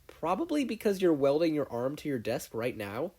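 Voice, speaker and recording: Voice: mid-range voice, big pitch swings, normal speed, a little mellow, breathy edge, pitched above the performer's own voice. A performer voicing an earnest, polite and slightly nervous teenage boy student in a story reading. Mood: eager, nervous.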